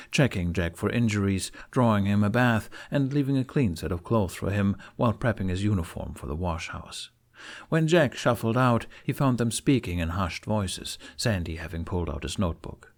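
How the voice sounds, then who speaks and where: A man reading a fantasy story aloud as scripted audiobook narration. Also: fairly low pitch.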